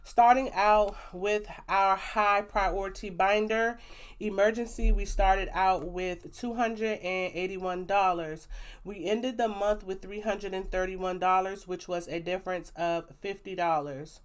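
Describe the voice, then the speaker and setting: A young woman with a loud, lively voice talks through her monthly savings numbers into a plugged-in mic. She sounds upbeat and chatty, tossing in slangy asides between figures. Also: medium pitch, unhurried. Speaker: budgeting vlogger. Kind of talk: casual budgeting update. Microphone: plug-in microphone.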